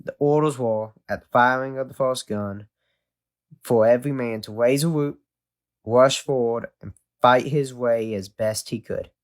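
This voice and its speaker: A man reads a first-hand historical account from a script. His voice sits at an average male pitch. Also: unhurried.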